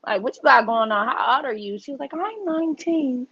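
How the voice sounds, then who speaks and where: A woman guest on a podcast, telling a story in a casual, profane, animated way and acting out the voices she quotes. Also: high-pitched, brisk, breathy edge, muffled recording.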